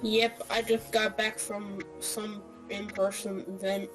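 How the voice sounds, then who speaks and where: A woman's voice: medium pitch, level tone, slow pace, over music, low-quality recording. A woman chatting casually over a voice call, talking in a hesitant, rambling way. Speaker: friend chatting with the player over voice chat. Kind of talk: casual chat. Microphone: voice chat call.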